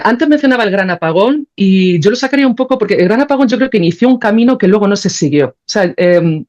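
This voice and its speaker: A woman with a Spanish accent speaks at a middle pitch in a casual, colloquial way. She thinks aloud as she explains, like a guest in conversation. The audio is slightly dull and lo-fi. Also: darkish tone. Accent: Spain. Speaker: podcast guest, composer and sound creator.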